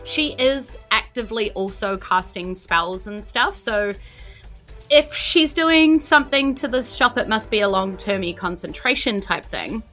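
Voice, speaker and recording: A woman's voice: mid-range voice, animated, measured pace, pushed and bright. A woman narrating a tabletop role-playing game as game master, improvising conversationally. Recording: music behind the voice. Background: background music.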